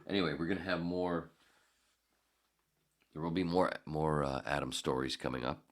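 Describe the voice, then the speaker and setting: A man's voice: very deep voice, normal speed. A man hosting a solo podcast, talking in a rambling, profane, self-deprecating way. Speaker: podcast host. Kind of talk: casual solo podcast monologue.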